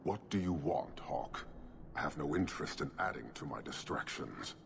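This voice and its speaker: A man's voice: animated, normal speed, fairly bright tone, heavily acted. A man playing a stern, contemptuous giant warrior leader in a video game, speaking formally and tersely with menace. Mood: cold disdain. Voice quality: very deep, gravelly. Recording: clearly noisy ambient background.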